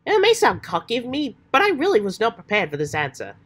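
A woman voicing an earnest, excitable, old-fashioned adventurous teenage boy with hammy, folksy slang, while getting over a cold. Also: a little high, fast talker, full character voice. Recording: faint background noise.

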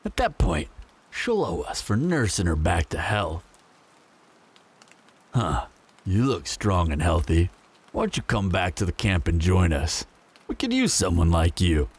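A man's voice: sits low, wildly swooping pitch, unhurried, long pauses, husky, exaggerated voice. A man playing a menacing, smug apocalypse bandit in an audio roleplay, taunting and mock-friendly with a drawl.

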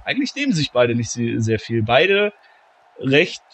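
A man with a cold, commentating a video game wrestling tournament, shouting at the big moments and calmer between them. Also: a little slow, a little hiss or hum. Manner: shouts and gets high-pitched at big moments, calmer when tallying scores. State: has a cold, slightly hoarse. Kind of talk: excited play-by-play commentary.

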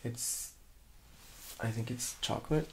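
A soft-spoken man giving quiet, hesitant short answers as the patient in an ASMR role-play. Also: mid-range voice, takes it slowly, a little hiss or hum, poor audio. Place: small room indoors.

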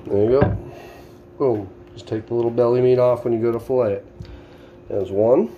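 Middle-aged man, Boston accent, mellow, casual how-to instructor, home kitchen with faint room noise. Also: mid-range voice, highs cut off. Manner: plainspoken, instructive, talking while working with his hands. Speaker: home cook demonstrating fish filleting. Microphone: camera on the counter.

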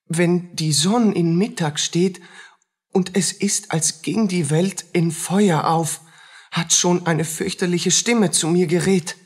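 A poor, haunted soldier in a radio play. His voice is husky and often lifts higher in agitation, with halting, anxious phrases and a submissive air. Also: exaggerated voice. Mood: fearful. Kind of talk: radio drama acting.

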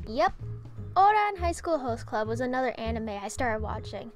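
A young adult woman narrating a storytime vlog as its creator, with an expressive, theatrical delivery. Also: fairly high pitch, normal speed, loud music behind the voice.

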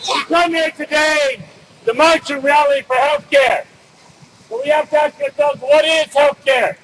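A middle-aged man gives an emphatic, loudly projected political speech at an outdoor rally, reading from notes. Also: very high voice, animated, a little slow. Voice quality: loud, projected voice. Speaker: rally speaker. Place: outdoors on city building steps in winter. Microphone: camera a few feet away. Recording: outdoor street noise, no microphone.

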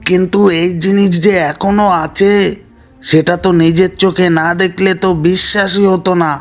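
A man voicing a grave, commanding village headman in a cartoon story, speaking deliberately and with authority. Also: high voice, little pitch movement, faint music behind, lightly acted. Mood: worried, resolute. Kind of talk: Bengali cartoon story voice acting.

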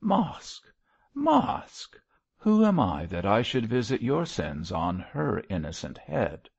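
A man voicing a young, gentlemanly parson in an audiobook, speaking formally and piously with a nervous, faltering earnestness. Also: mid-range voice, huge pitch swings, takes it slowly, creaky, breathy edge, highs cut off, full character voice.

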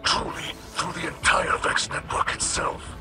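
A man voicing a solemn alien mystic in a video game, with a breathy, whispery voice and formal, deliberate, grave delivery. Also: loud music behind the voice, natural voice. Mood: grave, intent.

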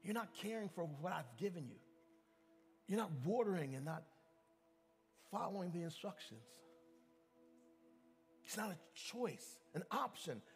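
A man's voice: high voice, very animated, a little slow, pauses often, big-room sound, faint music behind. A male pastor preaching a sermon in a church. He speaks emphatically, often restarting his sentences and calling out to the congregation. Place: church sanctuary.

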